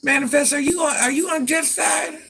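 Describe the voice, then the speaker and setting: Deep-voiced granny streamer, sassy, bright forceful delivery. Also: female voice, normal speed, gravelly, faint music behind. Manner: sassy, talks back to commenters by name. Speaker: livestream host. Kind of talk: casual livestream chat.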